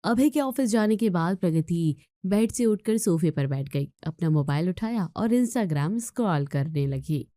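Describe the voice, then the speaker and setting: A woman reads a scripted moral tale as its storyteller. Her voice is low and mellow, recorded dry and close with no room sound. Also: animated, a little slow, few pauses, no room sound.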